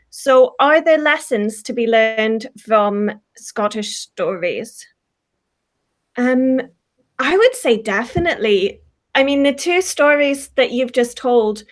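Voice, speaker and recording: Enthusiastic woman talking about folklore. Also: a little high. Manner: enthusiastic, reflective, frequent ums. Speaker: folklore podcast co-host, guest. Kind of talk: casual chat.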